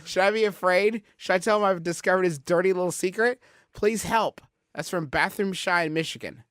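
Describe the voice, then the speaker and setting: A man's voice: high-pitched, a little fast, a bit bright, clear, resonant tone, no echo. Male comedy podcast host, dry close sound. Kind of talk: casual comic banter and reading out listener questions.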